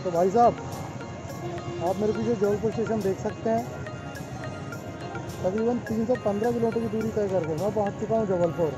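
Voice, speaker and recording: A young man with a high voice narrates a night motorcycle ride through a city, pointing out sights like a casual tour guide. He talks on a helmet-mounted camera while riding. Loud music plays under his voice throughout. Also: fairly quick, pauses often, poor audio. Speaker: motorcycle travel vlogger. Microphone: helmet-mounted action camera.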